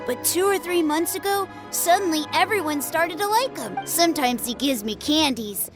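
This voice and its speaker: A woman voicing an eager, boastful young child who plays at being a sword master, excitable and naive, in a video game. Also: high voice, moderate pace. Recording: music clearly under voice. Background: game music.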